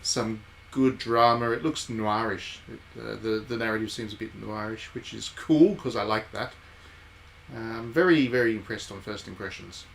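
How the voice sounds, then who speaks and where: A man's voice: on the low side, normal speed, fairly bright tone. A man giving casual live commentary on a game, with wry, playful asides and some reading of on-screen text. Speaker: game streamer and former journalist. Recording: clear background noise.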